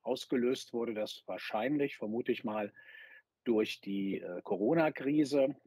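Husky middle-aged male interviewer, dry sound. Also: medium pitch, measured pace, darkish tone, dry recording. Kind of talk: conversational interview. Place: bright indoor room. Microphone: video call.

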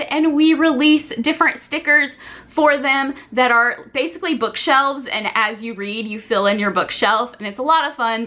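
A woman's voice: a little high, pushed and bright. A woman in her twenties chatting casually to camera in a rambling, self-deprecating, informal way, recorded in a small room at home. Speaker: vlogger and shop owner.

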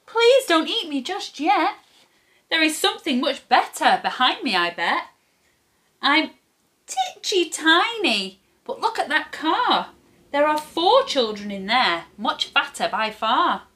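A small, frightened storybook child, acted by a woman, pleading in rhyme. The voice leaps very high and squeaky, bright and urgent. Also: big pitch swings, slow, full character voice. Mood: scared pleading. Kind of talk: rhyming children's storybook character voice.

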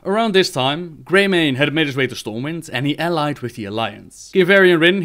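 A man's voice: a little high, a bit bright. A man reading scripted video game lore as a narrator, in a storytelling manner.

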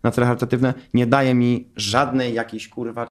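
A man's voice: medium pitch, little pitch movement, normal speed. A young man answering questions in a studio stream, emphatic and defensive, swearing often. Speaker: guest on a stream. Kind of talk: heated interview answers. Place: studio with wooden wall. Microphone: desk microphone.

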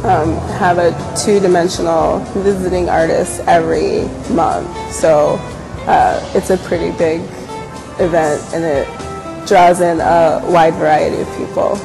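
A woman's voice: lowish voice, steady tone, measured pace, vocal fry, rough recording. A young woman, talking casually about her glass studio in an interview, with plenty of fillers and restarts. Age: young adult. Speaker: glass studio staff member. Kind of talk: on-camera interview about a venue. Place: large indoor gallery space. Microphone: lapel mic. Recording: music bed behind the voice.

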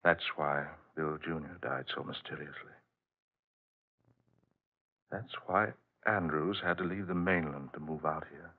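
Grave, halting radio-horror hero, husky. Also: male voice, extremely low pitch. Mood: grave revelation. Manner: grave, dramatic, halting delivery. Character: tense, resolute husband uncovering a werewolf horror. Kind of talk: 1940s radio acting. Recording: muffled, lo-fi old radio recording.

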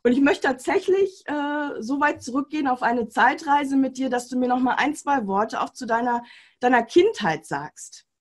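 A woman in her forties hosting an interview over a video call from home, enthusiastic and filling pauses with "ähm". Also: sits high, expressive. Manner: warm enthusiastic with many fillers. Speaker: interview host, mindset coach. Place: home room with bookshelf.

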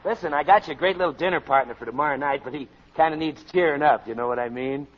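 An actor playing a jolly, slangy playboy pal with a breezy, chummy manner in a 1940s radio comedy. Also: male voice, a little high, quick pace, natural voice. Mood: breezy, flirty. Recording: muffled, noisy 1940s radio recording.

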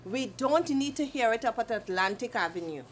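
A woman complains with emphatic exasperation in a high, very animated voice. She is interviewed inside a small shop on a nearby camera mic, with faint noise behind her. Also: moderate pace. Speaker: neighbor. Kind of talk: street interview. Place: indoors in a small nail salon.

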